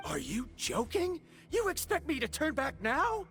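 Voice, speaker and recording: Eager, animated, formal scholar. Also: female voice, high voice, a little slow, creaky, faint music behind, full character voice. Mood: indignant. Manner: formal, theatrical. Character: eager, self-assured scholar of ores, proud and excitable. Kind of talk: English video game voice acting.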